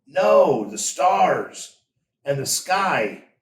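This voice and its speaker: Man voicing an eager young shepherd, raised pitch. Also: very animated, extremely slow, exaggerated voice. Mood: excited wonder. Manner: eager, amazed. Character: excitable older brother, a young shepherd. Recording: roomy echo, distant from mic.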